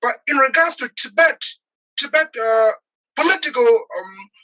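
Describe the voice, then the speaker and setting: A man in his forties from Nepal, speaking as an interview guest over a video call, earnest and halting with many pauses and fillers. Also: very high-pitched, animated, sharp tone, highs cut off. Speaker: guest, activist. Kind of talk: earnest personal account in an interview.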